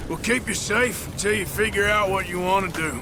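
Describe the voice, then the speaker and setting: An actor playing a gruff, laconic American gunslinger in his thirties, drawling dryly in a western game. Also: high-pitched, brisk. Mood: awkward, reassuring. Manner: drawling, dry, understated. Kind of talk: cinematic western game acting. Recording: clear background noise.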